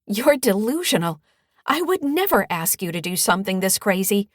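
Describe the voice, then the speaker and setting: Woman reader doing a fed-up older mom, firm and scolding, bit husky, audiobook. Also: medium pitch, steady tone, measured pace, a little mellow, no room sound, studio quality, voice pushed up. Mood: outraged. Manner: scolding, emphatic. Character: outraged mother, older widow, scolding, firm. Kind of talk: audiobook character dialogue.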